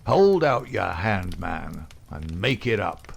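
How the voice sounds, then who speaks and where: Man doing a gruff bullying gang boss, gravelly and loud, storybook reading. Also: sits low, sing-song, slow pace, heavily acted. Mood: menacing. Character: rough, domineering middle-aged male gang boss.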